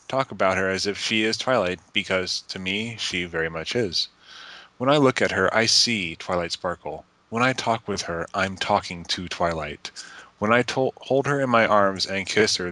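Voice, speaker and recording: A man's voice: sits low, pushed and bright, no room sound. A man reading a letter aloud in an earnest, emphatic manner. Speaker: reader of a letter to friends.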